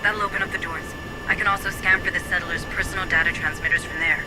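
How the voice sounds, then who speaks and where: A woman playing a technically minded administrator giving brisk, matter-of-fact mission support in a video game. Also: on the low side, steady tone, noisy background, close to an everyday voice. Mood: brisk, focused. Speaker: remote mission support officer. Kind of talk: video game dramatic acting.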